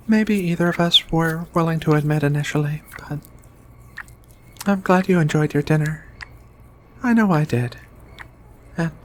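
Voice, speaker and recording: Woman as a slow, low-voiced gentle giant alien woman. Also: slight background noise. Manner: tender, hesitant, murmuring hums between phrases. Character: gentle giant female alien xenobiologist, affectionate, bookish. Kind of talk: soft-spoken ASMR roleplay.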